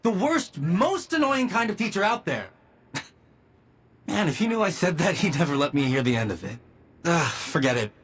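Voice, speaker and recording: An actor playing a brooding, sarcastic man in a video game, with a husky voice and a wry, self-questioning manner. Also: a little high, a bit bright, slight background noise. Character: brooding, sarcastic man grudgingly missing his lost mentor. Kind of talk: dramatic video game cutscene acting.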